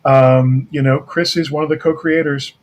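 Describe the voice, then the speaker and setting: A man from North America speaking as an interview guest, casually and haltingly, repeating words as he thinks. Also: a little high, steady tone. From Canada or the United States. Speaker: guest, writer-producer of an audio drama.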